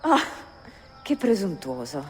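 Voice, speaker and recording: A woman's voice: on the low side, sing-song, measured pace, soft background music. A woman playing a curious, polite young Italian bookseller in a video game cutscene, with an Italian accent. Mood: astonished, intrigued.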